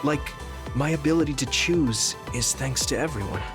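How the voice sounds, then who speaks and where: Casting: actor as idealistic young man soldier, video game, mid-pitched, sincere and conversational, clear music behind. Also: normal speed, lightly acted. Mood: thoughtful. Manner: sincere, conversational, slightly hesitant. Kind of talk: English video game voice acting.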